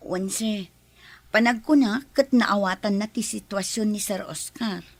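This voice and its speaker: A woman's voice: medium pitch, measured pace, no echo. A woman acting a cautious, worried, loyal employee in an Ilocano radio drama, with an Ilocano Filipino accent. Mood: deferential, hesitant.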